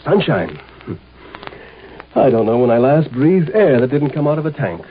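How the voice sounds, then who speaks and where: A man's voice: medium pitch, very animated, moderate pace, narrow, tinny audio, natural voice. A man playing a blunt, sarcastic military marshal of a galactic empire in an American 1950s radio drama. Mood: gruff, commanding. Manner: blunt, sardonic, commanding. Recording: scratchy, noisy 1950s radio broadcast.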